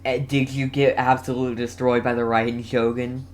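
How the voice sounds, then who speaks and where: A young man giving casual live game commentary as a streamer, halting and often repeating his phrases. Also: medium pitch, flat delivery, a little hiss or hum. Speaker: gaming streamer.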